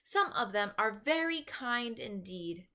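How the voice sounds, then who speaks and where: A woman voicing an excitable, determined young orphan girl with emphatic, urgent delivery in a children's storybook. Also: a little high, slow, full character voice. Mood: indignant. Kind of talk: children's storybook read-aloud.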